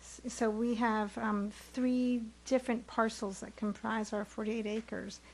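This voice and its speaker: A woman's voice: on the high side, flat delivery, a little slow, low-quality recording. Earnest woman giving public comment at a meeting. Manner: earnest, explaining. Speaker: resident addressing the board. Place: town hall meeting room.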